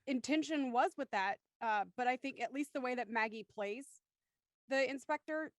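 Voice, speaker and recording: Higher-voiced woman, dry close sound. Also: moderate pace, no room sound. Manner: speculative, opinionated. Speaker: cast member discussing an audio drama. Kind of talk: casual group discussion.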